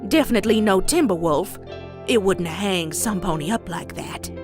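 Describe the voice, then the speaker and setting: A woman voicing a stern, bitter old farm grandmother pony in a comic dub, with a Southern US accent and folksy, deliberate delivery. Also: medium pitch, natural voice. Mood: grave, confessional. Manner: folksy, slow, deliberate storytelling. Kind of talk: dramatic character acting in comic dub. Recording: dramatic music behind.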